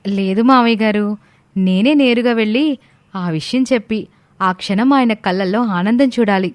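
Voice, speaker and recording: A woman's voice: medium pitch, normal speed, no room sound, lightly acted. Woman acting a sweet, coy young wife. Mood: coy, happy. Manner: polite, playfully coy. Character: sweet, coy young pregnant wife, respectful daughter-in-law.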